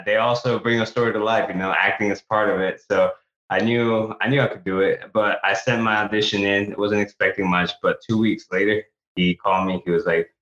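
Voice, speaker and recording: A man's voice: deep voice, fairly bright tone. A man from El Salvador, a guest actor and author, telling his story earnestly in an interview, with frequent restarts. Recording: echoey, slightly dull remote audio.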